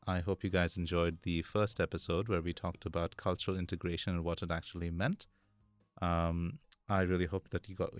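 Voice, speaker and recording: A man hosting a podcast, introducing the episode and his guest in a relaxed, friendly way with frequent ums. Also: deep voice, no room sound, studio quality. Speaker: podcast host. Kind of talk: casual podcast conversation.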